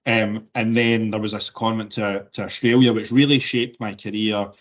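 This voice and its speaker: A man in his forties with a softened Glaswegian Scottish accent, answering interview questions thoughtfully with many restarts and fillers. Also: on the low side, flat delivery, normal speed, highs cut off. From Scotland. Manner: thoughtful, frequent word repeats and fillers. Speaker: interview guest.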